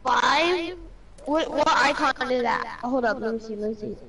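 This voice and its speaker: A woman streaming a game on a headset, chatting casually with a friend in a pushy, excitable way. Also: fairly high pitch, unhurried, vocal fry, a loud crowd, poor audio. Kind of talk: casual gameplay chat with a friend.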